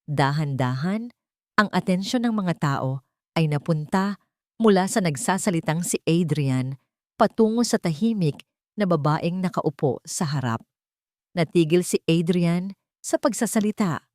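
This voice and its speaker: A woman narrating a romantic drama in a steady, dramatic storytelling manner. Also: on the low side, a little slow, no room sound, studio quality. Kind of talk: scripted audiobook narration.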